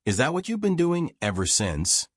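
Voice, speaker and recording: A man's voice: mid-range voice, very animated, normal speed, dry recording, studio quality. A man voicing an eager, curious young woman who hopes to write, speaking politely and inquisitively in audiobook dialogue.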